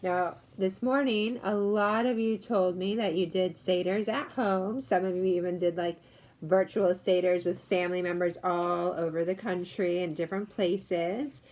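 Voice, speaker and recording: A woman reading a story to children, pausing for casual explanatory asides and questions to her listeners. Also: fairly low pitch, fairly even intonation, darkish tone.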